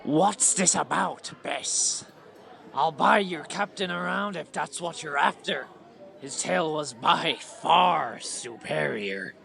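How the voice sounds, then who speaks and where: A man's voice: fairly high pitch, slow pace, vocal fry, fairly bright tone, full character voice. A man playing a wry, knowing tavern pirate in an audio play, speaking in salty old-fashioned dialect. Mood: puzzled, conciliatory.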